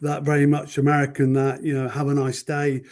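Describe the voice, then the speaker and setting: Mellow-voiced man, thoughtful guest. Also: fairly high pitch, monotone. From United Kingdom. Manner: thoughtful, measured, many "you know" fillers. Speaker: magazine owner, podcast guest. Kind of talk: casual interview chat.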